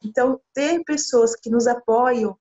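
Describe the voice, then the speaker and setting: A woman's voice: fairly high pitch, unhurried. A Brazilian woman in her thirties, a missionary, talking earnestly in an interview over a video call from a small room. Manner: earnest, expressive with hand gestures. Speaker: missionary guest. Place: small room indoors, sitting beside her husband. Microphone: laptop camera mic on a video call. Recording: dull lo-fi video call audio.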